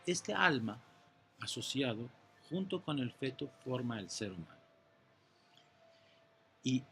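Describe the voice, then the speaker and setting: A man's voice: mid-range voice, a bit bright, a little hiss or hum. A middle-aged man from Iran, answering interview questions in an earnest, teacherly way and patiently explaining ideas step by step, with occasional restarts.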